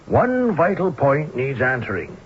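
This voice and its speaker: A shrewd, self-assured gentleman sleuth in a radio mystery. His mid-pitched voice is precise, erudite and faintly pompous. It sounds like a muffled old broadcast. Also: sing-song, a little slow, slight background noise. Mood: probing. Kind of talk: 1970s radio mystery acting.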